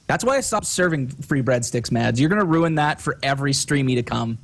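A man's voice: mid-range voice, animated, fairly quick. A man on voice chat making crude, profane joking rants at his friends. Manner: crude, swearing, mock-ranting jokes. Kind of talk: casual gaming voice chat.

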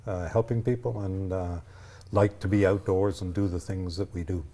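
A man's voice: sits low, steady tone, moderate pace, mellow. A man in his sixties giving explanations as a guest in a television interview. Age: 60s. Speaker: ski patrol leader, interview guest. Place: TV studio, indoors. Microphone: lapel mic.

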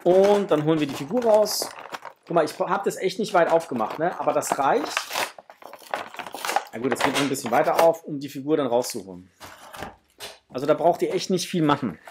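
A man's voice: fairly high pitch, animated, fairly quick, long pauses, noisy background. A man, chatting informally and enthusiastically as he presents a casual unboxing review at home. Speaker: toy review channel host. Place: small home room, indoors.

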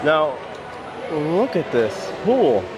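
A man's voice: on the high side, very animated, drawn-out words, noisy background. An American man in his thirties giving a casual walking-tour commentary as a travel vlogger, recorded on a handheld camera. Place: walking around a cruise ship, decks and indoor areas. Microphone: handheld camera at arm's length.

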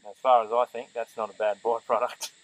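A man's voice: mid-range voice, little pitch movement, fairly quick, rough recording. A young Australian man chatting casually with mates on a fishing boat, blokey and sweary. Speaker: angler in a fishing vlog. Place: outdoors on a small boat on a river. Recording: music over outdoor boat audio.

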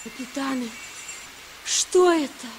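A man's voice: extremely high pitch, slow, a little hiss or hum, poor audio. A man playing an eager, curious young boy in a children's radio play, wide-eyed and full of questions. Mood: excited. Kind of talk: mid-century Soviet children's radio play acting.

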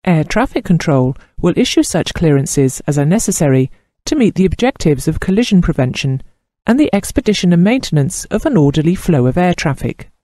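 A man reading scripted instructional narration in a formal, measured manner with precise enunciation. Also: on the high side, sing-song, warm tone, no room sound, studio quality. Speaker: training course narrator.

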